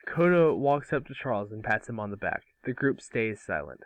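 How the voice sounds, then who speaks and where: A man's voice: on the low side, sing-song, moderate pace, dry recording. Man narrating, dry and close. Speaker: narrator and series co-creator. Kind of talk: scripted narration in amateur table read.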